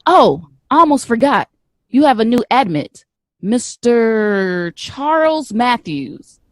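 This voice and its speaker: Sassy nurse, high and lively. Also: female voice, slow, no room sound. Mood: teasing, playful. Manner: slangy, playful bantering. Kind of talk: naturalistic audio drama acting.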